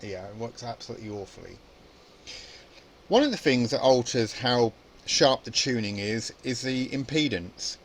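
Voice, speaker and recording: A man's voice: mid-range voice, lively intonation, normal speed. A man presenting an informal hands-on electronics tutorial, explaining casually and thinking aloud as he goes. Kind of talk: informal spoken tutorial demonstration.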